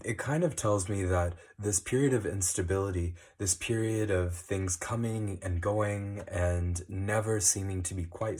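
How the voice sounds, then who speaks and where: A man with a cold, giving a slow, casual card reading with many ums. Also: low voice, darkish tone, slightly rough. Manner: unhurried, many ums and uhs. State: recovering from a cold, sniffly. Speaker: tarot reader. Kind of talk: monthly astrology card reading.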